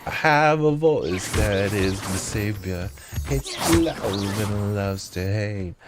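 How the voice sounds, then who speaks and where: A man streaming a video game talks slowly and loosely to himself. He jokes, hums and sings bits of songs as he plays. Faint game music runs underneath his voice. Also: on the low side, animated. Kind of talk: casual gameplay commentary with singing.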